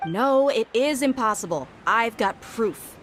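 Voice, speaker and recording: A woman's voice: a little high, expressive, measured pace, fairly bright tone, soft background music. A woman voicing a proud, skeptical young swordswoman and bodyguard in a video game, assertive and casual. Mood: firm, explanatory. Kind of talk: video game dialogue acting.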